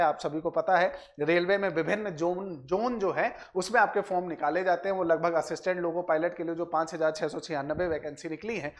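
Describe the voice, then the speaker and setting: Man explaining to students. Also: high-pitched. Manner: addresses viewers directly, repeats filler phrases and check tags. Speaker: exam coaching presenter. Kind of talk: explanatory exam analysis for students.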